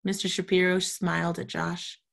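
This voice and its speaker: A woman's voice: fairly low pitch, little pitch movement, moderate pace, creaky. A woman storytime reader, reading a children's storybook aloud expressively and talking to young children.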